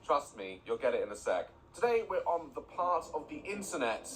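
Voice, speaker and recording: A man's voice: a little high, big pitch swings, normal speed, low-quality recording. A man hosting a casual reaction video, blunt and sweary with joking rants, at home with building work nearby. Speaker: YouTube reaction host. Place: home, bathroom being redone nearby. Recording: loud building noise behind.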